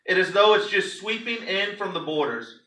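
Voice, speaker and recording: A man's voice: high-pitched, normal speed, sharp tone. A man, a pastor, giving a theatrical, emphatic dramatic reading of a story to a congregation. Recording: echoey room, distant microphone.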